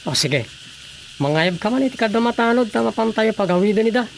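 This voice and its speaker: A man's voice: high-pitched, animated, a little fast, creaky. A man voicing a stern, scolding village official in a radio drama. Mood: firm. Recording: noisy radio drama recording.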